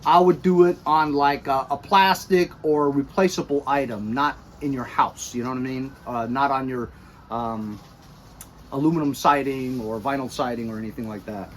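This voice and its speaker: A middle-aged American man giving a casual, chatty product review with animated emphasis, recorded by a camera a few feet away in a garage. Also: medium pitch, moderate pace, slight background noise. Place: cluttered garage or workshop.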